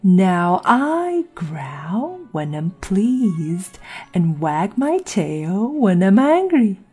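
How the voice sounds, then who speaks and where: A woman voicing a sly, riddling, calmly mad cat in a storybook, with a husky, breathy voice and a deliberate drawl. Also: mid-range voice, faint music behind, exaggerated voice. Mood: smugly logical. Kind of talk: audiobook character acting.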